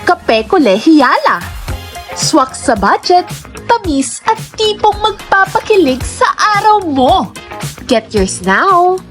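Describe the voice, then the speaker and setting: A woman playing a smooth-talking, persuasive and commanding character in scripted radio. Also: extremely high pitch, very animated, slow pace, soft background music, full character voice. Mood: teasing, selling. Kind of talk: radio drama acting and scripted radio ad.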